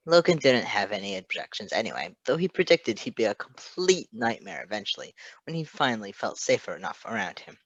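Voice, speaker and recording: A man's voice: on the low side, a bit bright, no echo, rough recording. A man reading fan fiction aloud as a narrator, with casual asides.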